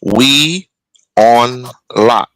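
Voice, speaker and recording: A man co-hosting a live stream, telling a backstory in a halting, casual way. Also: medium pitch, a bit bright, no echo.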